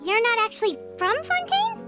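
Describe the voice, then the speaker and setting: Squeaky, very high tiny fairy companion. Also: female voice, very animated, moderate pace, over music. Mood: surprised, curious. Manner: exclamatory, wide-eyed. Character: tiny childlike female fairy companion, excitable and naive. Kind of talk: video game voice acting.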